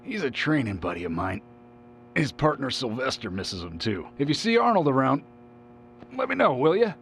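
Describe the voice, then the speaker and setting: A man's voice: medium pitch, big pitch swings, moderate pace, vocal fry, fairly bright tone, a little hiss or hum. An actor playing a blunt, proud male deputy devoted to his workouts in a video game cutscene. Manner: blunt and proud, almost boastful. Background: game music.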